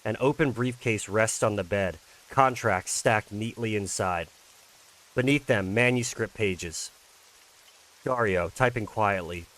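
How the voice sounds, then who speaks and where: A man's voice: on the low side, measured pace, a bit bright, low-quality recording. A man who talks casually about his own visual novel and reads its narration aloud. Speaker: creator reading own fan-made visual novel. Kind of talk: casual intro, then dramatic read-aloud narration.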